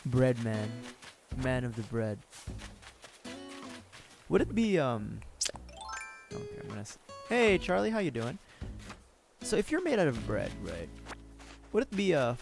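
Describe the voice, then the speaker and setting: Male streamer, hoarse and gravelly, lively jokey rambling over game music. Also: medium pitch, unhurried, long pauses. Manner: rambling, joking, laughs often. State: hoarse, sore throat. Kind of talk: casual gameplay commentary.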